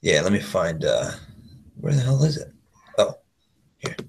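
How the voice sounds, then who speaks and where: A man's voice: low voice, very animated, measured pace, creaky, darkish tone, husky. A man co-hosting a casual radio show with joking banter, talking into a computer's built-in microphone in a big empty room. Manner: wisecracking.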